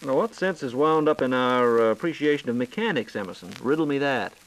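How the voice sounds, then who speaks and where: A man's voice: mid-range voice, expressive, brisk, low-quality recording. An American man hosting a scripted 1940s radio program, playing the wry, playful layman who feeds questions to an expert.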